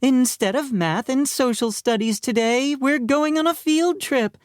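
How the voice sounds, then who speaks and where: A woman's voice: sits high, unhurried, darkish tone, dry recording, clean studio sound, pitched above the performer's own voice. A woman voicing a playful, prankish female schoolteacher making announcements to her class in a children's audiobook. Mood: cheery, teasing.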